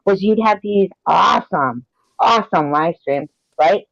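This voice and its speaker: A woman hosting a casual live-stream chat, chatty and quick to laugh, sounding tired. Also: fairly low pitch, creaky, a bit bright. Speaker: host. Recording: dull lo-fi call audio.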